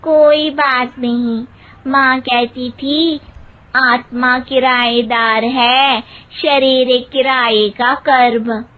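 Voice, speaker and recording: A woman's voice: high-pitched, steady tone, very slow. A woman playing a naive, cheerfully unbothered young village daughter-in-law in a comic cartoon story, with innocent, literal replies. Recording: muffled phone-like narrow band.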